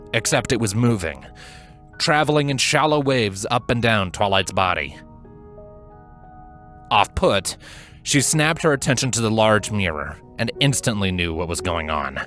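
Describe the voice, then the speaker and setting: A man narrating scripted fiction as a storyteller, with an expressive, suspenseful delivery. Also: mid-range voice, unhurried, faint music behind.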